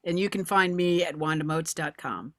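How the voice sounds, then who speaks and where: A woman's voice: sits low, normal speed, no room sound, clean studio sound. A woman voice actor talking casually about herself and her work on a video call. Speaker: actor, voiceover artist and audio engineer. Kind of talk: casual self-introduction and chat.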